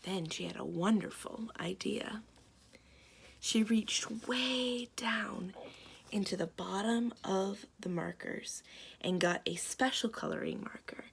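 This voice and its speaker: A woman reading a children's picture book aloud expressively as a storyteller, with dramatic emphasis and drawn-out words. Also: medium pitch, vocal fry, a little hiss or hum. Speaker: library storyteller.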